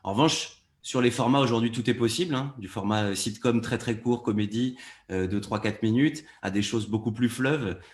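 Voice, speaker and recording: A man's voice: mid-range voice, normal speed. A man explaining things as a podcast producer in a panel discussion.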